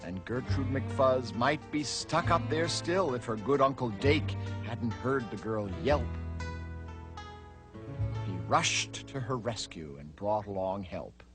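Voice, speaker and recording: A male narrator reads rhyming verse for children in a theatrical, very animated way. He takes his time over the lines, with clear music playing behind him. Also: medium pitch, takes it slowly. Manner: theatrical, dramatic emphasis. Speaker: storybook narrator.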